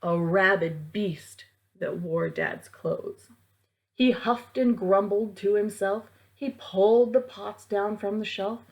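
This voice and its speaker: A woman reading a rhyming picture book aloud to children in a theatrical, deliberate way, as a library storytime reader. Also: mid-range voice, darkish tone.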